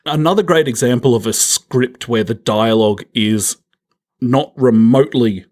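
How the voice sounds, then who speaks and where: A man's voice: medium pitch, normal speed, a little mellow. A middle-aged man co-hosting a podcast, talking casually about films with a dry, teasing humour. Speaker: podcast co-host. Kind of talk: casual film discussion.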